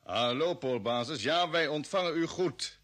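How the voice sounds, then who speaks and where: An actor playing a level-headed spaceship commander who gives orders and asks questions in a vintage radio drama. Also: male voice, a little high, expressive, measured pace, a bit bright. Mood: alert. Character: level-headed male spaceship expedition leader.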